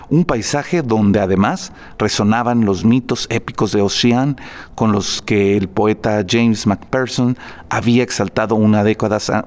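A man's voice: fairly low pitch, darkish tone. A man presenting spoken program notes before a classical concert, reading prepared text with fillers and restarts.

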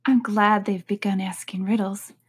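A woman voicing a proper, indignant young girl with a prim, sharp way of speaking. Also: medium pitch, steady tone, moderate pace, husky.

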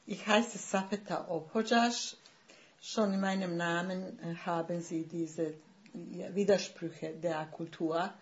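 An older woman with a Bosnian accent talks slowly and with care in a podcast conversation, her voice fairly low. She often stops and searches for words, filling the gaps with small hesitations. The recording sounds a little dull, like a lo-fi home setup. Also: fairly even intonation. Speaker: writer, podcast guest.

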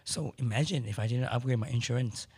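A middle-aged man with a hoarse voice, speaking candidly as a podcast guest in casual conversation. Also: mid-range voice, fast, darkish tone, no room sound. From Malaysia. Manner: candid, matter-of-fact, occasional restarts.

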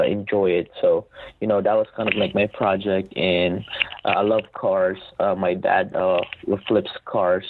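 A man in his twenties from the Philippines, a guest telling his story in a relaxed, rambling way with many fillers. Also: low-pitched. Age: 20s. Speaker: podcast guest, reseller. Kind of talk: casual interview talk. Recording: tinny phone-like line, faint noise.

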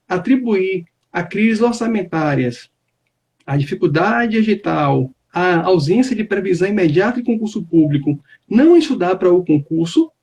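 A man, a labor judge, speaking in a measured, formal and deliberate way in a live online chat. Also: high voice, darkish tone, husky.

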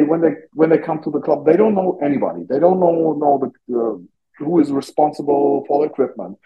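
A man's voice: fairly high pitch, dull audio. A man from Germany with a German accent, a non-native English speaker, giving informal interview answers as a youth hockey coach and searching for words as he goes. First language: not English.

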